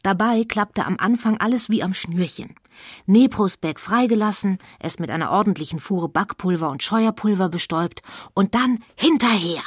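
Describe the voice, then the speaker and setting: A woman playing the storyteller in a spooky children's radio drama, husky and suspenseful in her emphatic narration. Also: mid-range voice, lively intonation, normal speed, no echo, studio quality.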